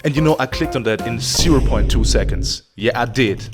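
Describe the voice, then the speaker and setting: A man giving a casual, profane game review in an animated, theatrical, self-deprecating way. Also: mid-range voice, normal speed. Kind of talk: casual, profane review commentary over gameplay. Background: background music.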